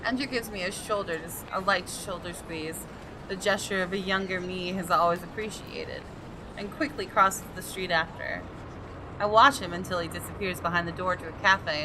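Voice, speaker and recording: A woman reading visual novel narration aloud with deliberate, dramatic storytelling. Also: lowish voice, fairly even intonation, noisy background. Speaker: gaming video host. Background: music.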